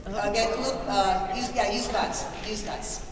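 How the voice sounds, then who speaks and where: A woman's voice: mid-range voice, steady tone, normal speed. A woman leading a live table read, reading stage directions and coaching the actors with encouraging, directive thanks. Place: large convention hall. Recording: echoey convention hall, distant mic, loud crowd noise. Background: live audience crowd noise.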